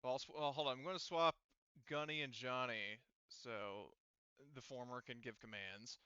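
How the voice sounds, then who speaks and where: A man's voice: mid-range voice, steady tone, moderate pace, pushed and bright, smooth, dry recording, muffled recording. A man casually running a tabletop game as game master, thinking aloud and often muttering through the steps to himself.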